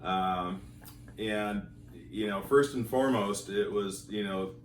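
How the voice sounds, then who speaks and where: Deep-voiced man, halting and roomy. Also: slight background noise. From Montana, United States. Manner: halting, many fillers and repeated words. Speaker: school teacher sharing his marriage story. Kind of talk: casual testimonial. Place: home in California. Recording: roomy echo, voice from a distance.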